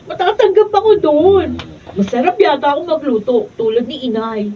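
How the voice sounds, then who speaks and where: High-pitched lovestruck young Filipina. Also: female voice, expressive, normal speed. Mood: giddy, lovestruck. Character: lovestruck, cheerful, stubborn twenty-year-old young woman. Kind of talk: Filipino radio drama acting. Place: radio drama recording session, filmed raw. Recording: muffled, distant mic, faint noise.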